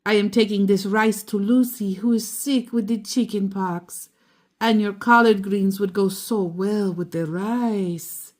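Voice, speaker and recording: Woman reader as a warm-hearted grandma in a picture book; polite, coaxing, unhurried delivery. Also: mid-range voice, steady tone, lightly acted. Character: polite, warm-hearted grandmother neighbour carrying food to share.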